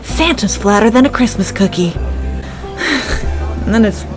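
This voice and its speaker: A woman's voice: mid-range voice, expressive, a little slow, music in the background, close to an everyday voice. A woman acting a jaded, grumbling bar-stool reindeer. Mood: weary griping. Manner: world-weary, griping, dryly pedantic. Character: jaded, tipsy, grumbling female-voiced reindeer drinking at a bar. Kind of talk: comedic audio play acting.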